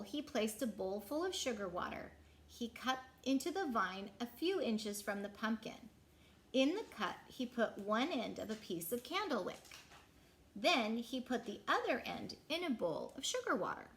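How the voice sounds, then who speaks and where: A woman storyteller reads a picture book to young listeners. Her voice is fairly high and highly animated in pitch, with deliberate, dramatic phrasing that stretches key words. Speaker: children's storyteller. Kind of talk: expressive picture-book read-aloud.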